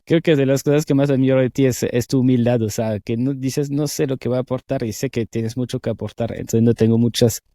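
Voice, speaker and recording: A man's voice: medium pitch, fairly even intonation, fairly quick, few pauses, dry recording, studio quality. Man hosting a podcast interview. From France. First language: French. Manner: enthusiastic, warm praise with frequent hesitation fillers. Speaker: podcast host. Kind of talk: scripted intro, then casual interview chat.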